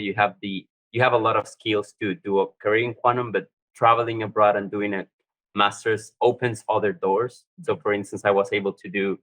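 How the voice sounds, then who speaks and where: A man with a fairly low voice speaking on a careers panel. He talks casually and loosely, often dropping in "like". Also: poor audio. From Colombia. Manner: relaxed, uses many "like" fillers.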